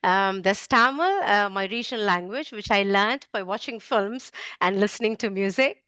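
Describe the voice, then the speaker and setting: A woman's voice: medium pitch, moderate pace, a bit bright, dry recording, dull audio. A woman whose first language is Urdu, working as a teacher and speaking in a cheerful, fluent way. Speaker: English teacher.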